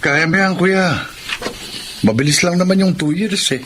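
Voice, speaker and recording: An actor playing an encouraging younger brother in a radio drama. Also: male voice, high-pitched, normal speed, background noise, lightly acted. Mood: reassuring. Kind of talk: Tagalog radio drama acting.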